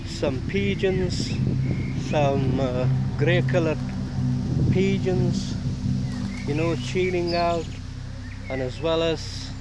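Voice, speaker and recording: A man from Afghanistan talks slowly and haltingly as he films a park on his phone, with frequent pauses and fillers. His voice sits a little high for a man. Loud music plays under his speech throughout. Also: poor audio. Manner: hesitant, many "uh" fillers. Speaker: vlogger. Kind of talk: casual walking tour vlog. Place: outdoor park. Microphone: phone held while walking.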